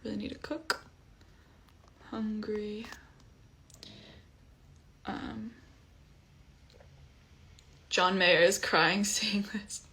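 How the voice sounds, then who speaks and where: A young woman from Iceland, a singer-songwriter chatting casually on a livestream from home, relaxed with frequent ums. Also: mid-range voice, drawn-out words, long pauses, a little hiss or hum. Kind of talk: casual livestream chat between songs. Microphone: phone livestream. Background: guitar playing.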